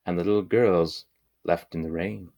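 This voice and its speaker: A man reading a children's picture book aloud in measured, deliberate phrases with pauses. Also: low-pitched, fairly even intonation, gravelly, dull audio. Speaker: storybook reader.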